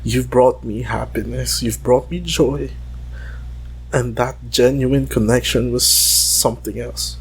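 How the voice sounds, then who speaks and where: A man giving an intimate, emotional monologue in a halting, confessional way. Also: mid-range voice, fairly even intonation, husky, rough recording.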